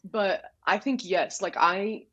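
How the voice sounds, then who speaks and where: A young woman from Texas, a college goalkeeper telling stories in a loud, direct, animated way during a casual interview. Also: mid-range voice, takes it slowly, a crowd in the background. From Dallas, Texas. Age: young adult. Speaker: soccer goalkeeper, guest.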